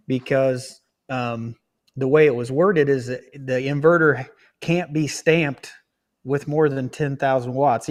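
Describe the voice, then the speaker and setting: Plain-spoken man sharing his experiences. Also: mid-range voice. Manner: frank, explains with many restarts. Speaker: guest on a livestream. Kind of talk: casual livestream chat.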